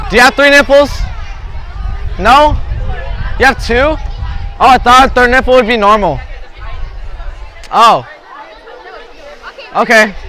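A teenage boy making a loud, casual staged phone call as a prank. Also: sits high. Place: covered outdoor school lunch area. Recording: outdoor chatter behind voice.

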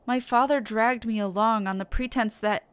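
A woman's voice: on the high side, fairly even intonation, normal speed, clean tone, dry recording, pitched below the performer's own voice, natural voice. A woman voicing a polite, earnest young male heir with a courteous, well-spoken manner. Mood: flattered, regretful.

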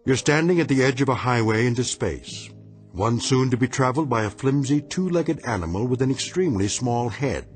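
A man giving measured, ominous, scripted narration for a radio drama. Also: mid-range voice, animated, faint music behind.

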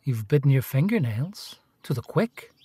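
An actor playing a self-righteous detective in a radio play, questioning in a clipped, insinuating way. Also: male voice, medium pitch, sing-song, moderate pace, warm tone, breathy edge, dry recording. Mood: probing. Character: probing, self-righteous male detective, needling a priest. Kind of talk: radio drama acting.

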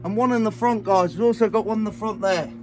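A man's voice: sits high, fast talker, a little mellow. A man, a fishing vlogger, chatting casually and matily to his viewers in slangy everyday speech, recorded outdoors on a small boat. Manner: chatty, matey, slangy, often addressing viewers as guys. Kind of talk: casual vlog commentary to viewers. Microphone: built-in camera or phone mic, few feet away. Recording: outdoor action camera and phone, noisy. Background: faint boat and outdoor noise.

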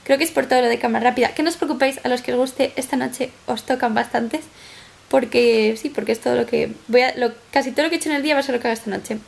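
A woman's voice: a little high, quick pace, vocal fry. A woman in her twenties with an accent from Spain, chatting casually to camera in a rambling, chatty way. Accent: Spanish from Spain. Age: 20s. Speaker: vlogger. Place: small flat living room, indoors.

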